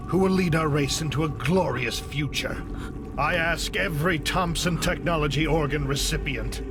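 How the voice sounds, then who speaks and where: Man acting a high-voiced, grandiose zealot over music. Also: lightly acted. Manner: grandiose, speechifying. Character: grieving, zealous male AI researcher turned hostage-taker. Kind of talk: dramatic video game cutscene monologue. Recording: music behind the voice.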